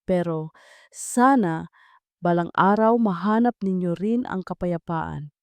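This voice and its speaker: A Filipino woman voicing a calm, wounded young heroine in a love story, soft and gentle. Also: lowish voice, slow, clean tone, dry recording. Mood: sad, gentle. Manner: soft, weak-voiced.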